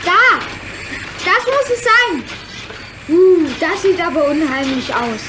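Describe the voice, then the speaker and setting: High-voiced, curious young boy. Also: sing-song, takes it slowly, heavily acted. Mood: excited, uneasy. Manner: exclamatory, questioning. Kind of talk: children's radio play acting. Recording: noisy radio play with chirping ambience.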